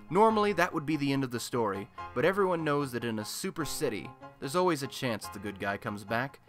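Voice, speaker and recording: A man's voice: mid-range voice, pushed and bright. A man playing a chatty, wry radio host in a superhero city, telling scripted comic stories in a colloquial, theatrical way for a radio play.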